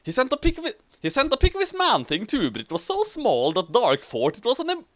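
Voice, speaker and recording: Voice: mid-range voice, wildly swooping pitch, brisk, dry recording, studio quality. A host doing a comic dramatic reading of fan fiction with casual banter, theatrical and prone to stumbling and repeating phrases.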